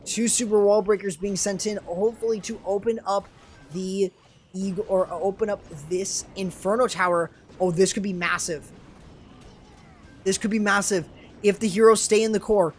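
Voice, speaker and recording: A young man commenting live on a video game match, excitable and quick to burst into exclamations, his voice strained and starting to go hoarse. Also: high-pitched, slight background noise. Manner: excitable, rising to sudden exclamations. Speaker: esports commentator and streamer.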